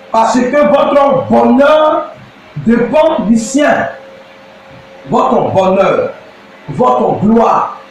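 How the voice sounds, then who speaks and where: A man from Côte d'Ivoire with an Ivorian French accent, preaching an impassioned address as a pastor, often shouting and drawing out words for emphasis. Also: extremely high pitch, very echoey, distant mic, slight background noise, rough recording. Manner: declamatory, often shouting, with slow emphatic stretches.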